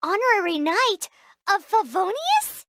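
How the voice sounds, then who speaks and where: A woman voicing a tiny, excitable childlike female fairy companion in a video game, squeaky, perky and blunt. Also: unhurried, gravelly, dry recording, full character voice. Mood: amazed. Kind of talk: English game dub voice acting. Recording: studio voice over prominent music.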